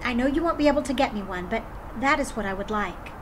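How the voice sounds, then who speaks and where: Woman playing an eager, high-voiced young boy. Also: lively intonation, fast, background noise, close to an everyday voice. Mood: shy, wistful. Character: kind, eager young boy, polite and helpful. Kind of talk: children's folktale storytelling.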